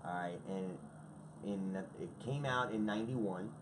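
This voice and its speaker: Low-voiced man telling profane rock stories. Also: unhurried, noisy background. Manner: excitable storyteller, swears freely. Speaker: guest sharing favorite albums. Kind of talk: casual profane chat.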